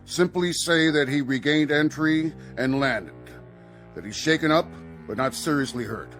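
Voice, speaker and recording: An actor playing a stern Air Force general in a radio drama, speaking in a deliberate, formal, official manner. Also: male voice, a little high, fairly bright tone, soft background music. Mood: commanding.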